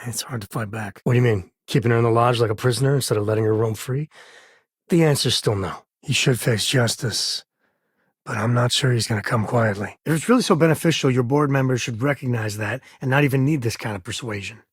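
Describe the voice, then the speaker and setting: An actor playing an easygoing, wry space cowboy lawman in a video game, protective and loyal, with a folksy, teasing delivery and a husky voice. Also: mid-range voice, fairly quick, no room sound, studio quality, natural voice. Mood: conversational, dry. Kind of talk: video game character voice lines.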